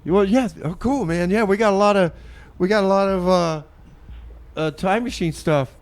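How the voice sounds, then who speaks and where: A man's voice: high voice, normal speed. A man hosting a community radio music show with casual, folksy, laid-back patter.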